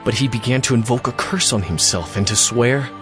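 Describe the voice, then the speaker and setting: A man's voice: medium pitch, a little fast, music in the background. A man reading scripture as a scripted narrator.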